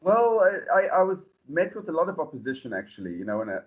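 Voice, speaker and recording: A South African man, a startup founder, answering podcast questions in a relaxed, rambling way full of fillers and restarts. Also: fairly high pitch, fast talker, dull audio. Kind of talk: podcast interview.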